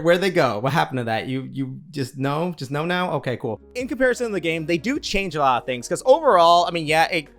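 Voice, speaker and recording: A man giving a casual, rambling movie review in an animated, casually sweary way, as an online reviewer. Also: a little high. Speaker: YouTube game and film reviewer.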